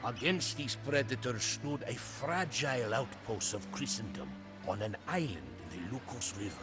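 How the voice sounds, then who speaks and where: A man plays a loyal old-world soldier telling his captain's story for a game cutscene. His delivery is solemn and theatrical, with dramatic swells and drops in a mid-pitched male voice. Loud music plays underneath. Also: natural voice.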